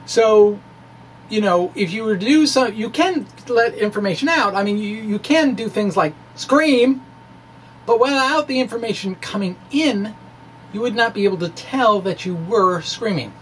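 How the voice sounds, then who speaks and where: A middle-aged man giving a dramatic reading of a science blog, with deliberate, emphatic phrasing and informal asides. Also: high-pitched, a bit bright, a little hiss or hum, low-quality recording. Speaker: presenter, science blogger.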